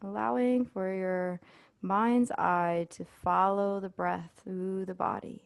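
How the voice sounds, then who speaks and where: A woman leading a guided body scan meditation as an instructor, speaking in a soothing, unhurried way with pauses. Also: medium pitch, steady tone, gravelly, no room sound.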